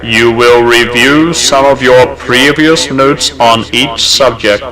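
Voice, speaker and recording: A man speaking as a hypnotist, counting down in a slow, soothing, repetitive way and then giving firm suggestions. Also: medium pitch, fairly even intonation, crowd noise, rough recording. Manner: commanding suggestions. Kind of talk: hypnosis induction from an old record. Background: music playing under the voice.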